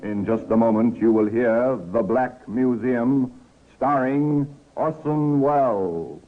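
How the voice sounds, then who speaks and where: A man's voice: mid-range voice, slow. Male announcer; formal, declamatory show introduction, narrow vintage radio sound with music underneath. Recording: muffled old radio recording.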